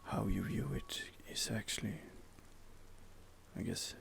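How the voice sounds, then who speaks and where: A man whispering softly and hesitantly as he muses through gameplay commentary for ASMR, close to the microphone. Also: slow, slight background noise. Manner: soft, hesitant, musing. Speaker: ASMR gamer.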